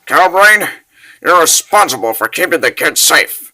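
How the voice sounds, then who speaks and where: A man voicing a cocky, grumbling old wizard in a comic dub, gruff and sarcastic. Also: a little high, animated, measured pace, sharp tone, voice pushed up, full character voice. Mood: wry, bossy.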